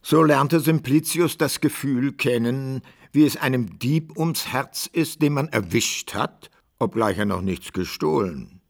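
A man's voice: medium pitch, a little slow, gravelly. A man narrating a classic literary tale for a radio play in scripted, old-fashioned prose.

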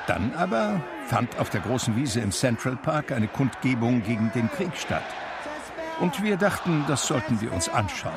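An actor playing an aging man who recounts his memoir in a measured, reflective literary manner for a radio drama. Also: mid-range voice, very animated, gravelly, crowd noise, background noise. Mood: reminiscing. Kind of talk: literary first-person radio drama narration.

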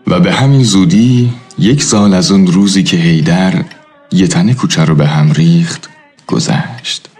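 A man narrates a nostalgic radio play in a reflective, literary way. His voice is low and husky, with soft music behind it. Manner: reflective, storytelling.

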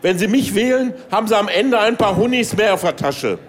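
An actor playing a crude, cocky candidate for chancellor, blunt and slangy, in a satirical radio play. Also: male voice, sits high, animated, brisk. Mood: blunt, unbothered. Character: crude, cocky working-class man running for chancellor.

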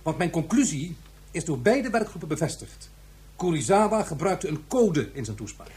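Pedantic male professor for sci-fi radio play: higher voice, insistent, precise, very animated pitch. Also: normal speed. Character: stubborn, earnest male professor arguing his case. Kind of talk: Dutch science fiction radio drama acting.

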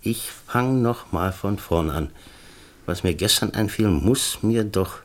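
An actor playing a distracted, fussy middle-aged screenwriter in a radio drama, musing haltingly and repeating himself. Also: male voice, on the low side, expressive. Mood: searching, rambling. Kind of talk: naturalistic radio drama acting.

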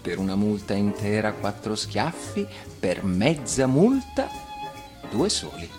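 An actor voicing a smiling, gentle, overly polite village policeman in a storybook reading, with a chuckling, courteous manner. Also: fairly low pitch, expressive, slow, faint music behind. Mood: gentle, regretful.

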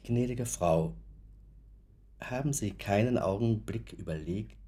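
An actor in a German radio play, playing a courteous, sceptical lawyer who speaks in a deliberate, probing way. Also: male voice, lowish voice, dark tone, slightly rough. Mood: grave, probing.

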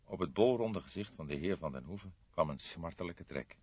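A man's voice: low-pitched, expressive, brisk, a little mellow, husky, no echo. Male audiobook narrator, dry and slightly dull. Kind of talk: scripted literary narration of a detective novel.

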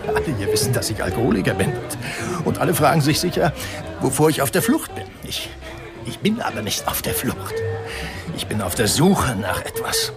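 An actor playing a tormented, self-mocking alcoholic writer in a radio drama, theatrical and halting. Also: male voice, mid-range voice, wildly swooping pitch, gravelly. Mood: restless, self-mocking. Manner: theatrical, halting, swinging between murmur and outburst. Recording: music behind the voice.

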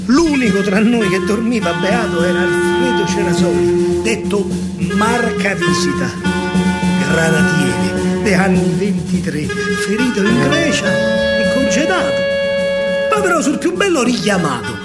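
A man with a Roman accent performing a theatrical verse monologue as a soldier, declamatory and full of pauses. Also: sits high, animated, loud music behind the voice. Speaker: stage actor and storyteller.

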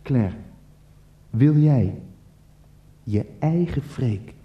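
Gushing game show host, wildly swooping voice. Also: male voice, mid-range voice, expressive, very slow, roomy sound, muffled recording. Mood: coaxing, triumphant. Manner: theatrical, goading, repeats himself. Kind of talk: radio play parody of live show presenting.

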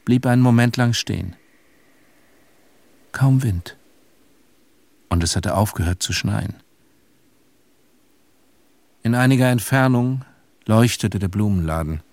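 An actor playing a somber, reflective grown son who narrates a literary radio play in a measured, pensive manner. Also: male voice, lowish voice, darkish tone, no room sound. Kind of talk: literary first-person radio drama narration.

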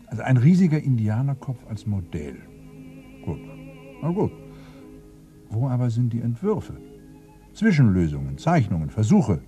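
A suspicious detective thinking aloud in a radio drama. His mid-pitched voice is dark and soft, with very lively intonation as he presses his questions. Opera music plays faintly behind. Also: normal speed, long pauses. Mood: probing. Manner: probing, rattling off questions and lists. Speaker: actor in radio crime drama. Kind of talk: 1990s German radio play acting.

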